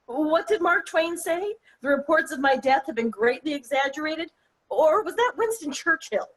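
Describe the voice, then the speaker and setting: A woman's voice: high-pitched, lively intonation, moderate pace, a bit bright, low-quality recording. A woman playing a wry, exasperated middle-aged mother in a comic play read aloud. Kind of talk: table-read stage comedy acting.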